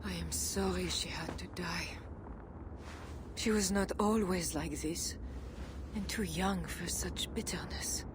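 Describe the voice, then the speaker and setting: A woman playing a devout, enigmatic medieval Frankish queen in a video game cutscene, speaking in a composed, solemn and measured way. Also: on the low side, noisy background. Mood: solemn, grateful.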